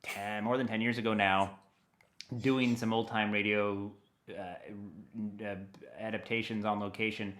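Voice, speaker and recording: An American man talking casually and enthusiastically as an interview guest, animated and given to rambling asides. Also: fairly low pitch, measured pace. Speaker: interview guest, audio dramatist.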